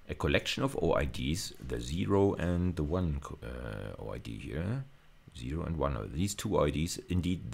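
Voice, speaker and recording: A man's voice: very deep voice, vocal fry. A man giving a technical university video lecture, explaining step by step and often repeating and rephrasing his phrases.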